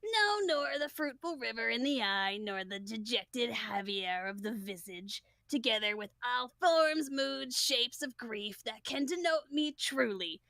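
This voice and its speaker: महिला की आवाज़ में उदास युवा राजकुमार हैमलेट. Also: female voice, on the high side, lively intonation, measured pace, hardly any pauses, pushed and bright, no room sound, exaggerated voice. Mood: brooding, wry. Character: brooding young Danish prince Hamlet, played for laughs. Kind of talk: comic Shakespeare read-aloud.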